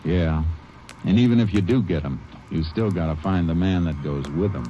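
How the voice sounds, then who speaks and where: An actor playing a calm, dogged male Texas Ranger who asks clipped, low-key questions, in a 1940s radio drama. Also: normal speed, close to an everyday voice. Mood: steady. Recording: hissy lo-fi vintage radio recording.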